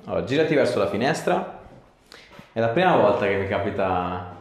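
A man's voice: on the low side, very animated, a little fast. A man, giving informal, encouraging step-by-step instructions to a patient as a chiropractor. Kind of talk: hands-on clinical instructions and casual chat with a patient. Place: small clinic treatment room, indoors. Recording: echoey small room with clear background noise.

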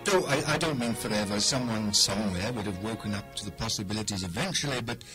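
An actor playing an earnest, proud engineering team leader of the 1920s, speaking in measured speeches in a radio play. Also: male voice, medium pitch, lightly acted, low-quality recording.

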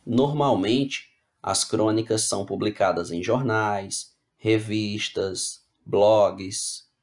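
A man's voice: lowish voice, slow. A male teacher giving an online class, reading slide text aloud and then rephrasing it in plain words, often checking in with the students. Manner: explains casually, checks in with "né?" and "tá bom?".

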